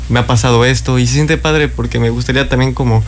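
Young band member in his twenties, flat halting speech with a gravelly edge. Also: mid-range voice. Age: 20s. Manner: hesitant, many restarts and fillers. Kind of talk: interview answers.

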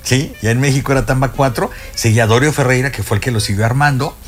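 Rambling older Mexican radio guest. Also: male voice, medium pitch, fast, faint music behind. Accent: Mexican Spanish. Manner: rambling, storytelling, many fillers and restarts. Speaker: radio music guest expert. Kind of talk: casual radio chat. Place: radio studio.

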